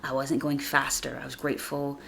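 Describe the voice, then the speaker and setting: A woman hosting a casual motivational podcast, speaking conversationally and earnestly, slightly raspy from a chest cold. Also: a bass voice, completely flat delivery, moderate pace, fairly bright tone, slight background noise, low-quality recording. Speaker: podcast host.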